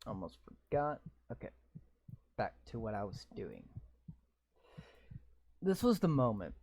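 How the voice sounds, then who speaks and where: Slow-talking sarcastic young gamer man. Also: lowish voice, big pitch swings, darkish tone, breathy edge, dry recording. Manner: sarcastic, swears while commenting. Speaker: gamer playing a visual novel. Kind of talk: reading game text aloud with live reactions.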